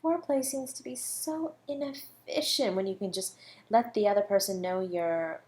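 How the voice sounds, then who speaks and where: A woman playing a suave, flirty academic suspected of murder in an audio roleplay, teasing and condescending, drawing out her words with breathy asides. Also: medium pitch, moderate pace, a little mellow, lightly acted. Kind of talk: scripted audio roleplay monologue.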